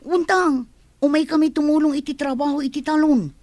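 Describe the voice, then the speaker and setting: A woman's voice: high voice, steady tone, a little fast, a little mellow, no room sound, close to an everyday voice. A woman playing an earnest young student daughter in an Ilocano radio drama. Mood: earnest pleading. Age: young adult.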